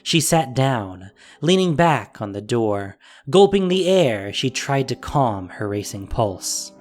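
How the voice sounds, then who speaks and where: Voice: mid-range voice, big pitch swings, slow pace, a little mellow, faint music behind. A narrator reading a scripted fantasy story in a dramatic audio drama style.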